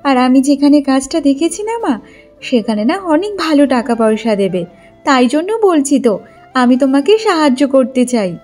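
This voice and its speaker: A woman's voice: high voice, expressive, normal speed, darkish tone, faint music behind. A woman voicing a dutiful, caring young village daughter in a cartoon story, speaking in an earnest, coaxing manner. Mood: pleading. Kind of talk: Bengali cartoon story dialogue.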